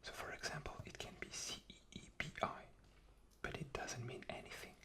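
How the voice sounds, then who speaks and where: A man whispering an ASMR demonstration, explaining patiently and haltingly with repetition. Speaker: guest ASMR performer.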